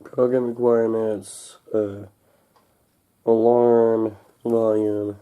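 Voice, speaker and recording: A young man with a slightly congested, cold-recovering voice talks casually and haltingly, muttering to himself as he hosts an unboxing. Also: lowish voice, flat delivery, phone-quality sound. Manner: halting, muttering to himself while handling packaging. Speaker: unboxing video host. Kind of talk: casual unscripted product unboxing talk. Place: indoors at home, over a table. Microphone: camcorder microphone close above the table.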